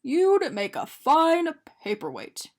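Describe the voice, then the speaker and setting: A fussy but kindly storybook man, acted by a woman, pitched high with plenty of movement in the melody. He is polite and matter-of-fact, like a proper gentleman explaining himself. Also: wildly swooping pitch, slow pace, heavily acted. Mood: hopeful. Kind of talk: animated picture-book character reading.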